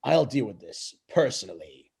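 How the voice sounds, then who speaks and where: A man reading an imperious villain king in a taunting, pompous, drawn-out way. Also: medium pitch, sing-song, gravelly, darkish tone, breathy edge, pitched below the performer's own voice, heavily acted. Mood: relishing menace. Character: Koopa, imperious gloating male villain king.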